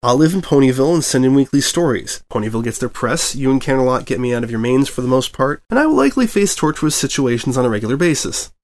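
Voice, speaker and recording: A man playing a jittery young stallion newspony, pitched a little above his own voice. He pleads under pressure and cracks dry jokes when he is at ease. A storybook character voice. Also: very animated, fast talker, hardly any pauses, a little mellow. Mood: pleading. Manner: pleading, then wry and joking. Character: nervous young stallion reporter, wry. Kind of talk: fanfic audiobook reading.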